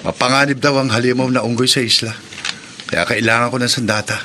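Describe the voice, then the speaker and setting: A man's voice: mid-range voice, fairly even intonation, brisk, pushed and bright, a little hiss or hum, close to an everyday voice. A man acting an earnest young friend in a radio drama. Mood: earnest, concerned. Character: earnest young man seeking help for danger.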